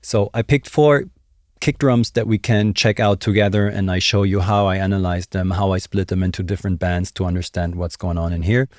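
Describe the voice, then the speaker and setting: Low-voiced man explaining, very dry sound. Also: flat delivery, a little fast, darkish tone, dry recording. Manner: conversational, explanatory, thinking aloud. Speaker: music production tutor. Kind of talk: casual video tutorial. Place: small indoor home studio. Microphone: desk microphone close up.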